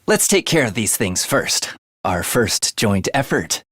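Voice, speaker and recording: A man's voice: lowish voice, big pitch swings, measured pace, fairly bright tone, dry recording, studio quality, exaggerated voice. A man voicing an eerie, bloodthirsty young male student in a video game, with a sinister, theatrical manner that savors morbid details. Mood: sly, determined. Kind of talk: video game character voice acting.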